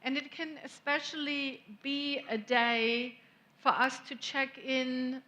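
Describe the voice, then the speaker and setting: Lady minister, slow gentle sermon, kinda high voice. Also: fairly even intonation. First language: German. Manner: gentle, reflective, unhurried with long pauses. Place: church sanctuary.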